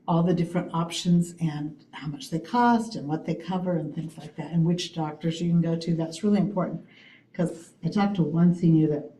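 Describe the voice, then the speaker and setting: A woman giving a loud, chatty update on senior events at a city committee meeting. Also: low-pitched, echoey room, low-quality recording. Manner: loud, chatty, laughs often. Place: city hall meeting room.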